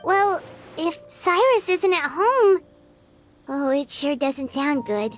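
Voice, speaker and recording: A woman voicing a small, excitable female flying companion in a video game, with a high, squeaky, chirpy voice. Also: slow pace, faint music behind. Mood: worried. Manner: chirpy, exclamatory. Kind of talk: game voice acting.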